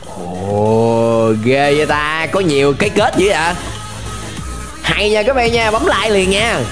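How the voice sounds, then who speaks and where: A young man giving excited, exclamatory reaction commentary as a video host, dragging out words for drama, close to the microphone. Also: a little high, unhurried. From Vietnam. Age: young adult. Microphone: close desk microphone. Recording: music behind the voice.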